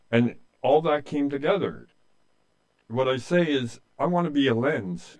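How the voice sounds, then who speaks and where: A man's voice: medium pitch, a little mellow. A man in his late sixties with a Canadian accent, telling his personal story in an earnest, plain-spoken way in an interview. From Canada, rural eastern Ontario. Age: late 60s. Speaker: interviewee, humanitarian aid volunteer.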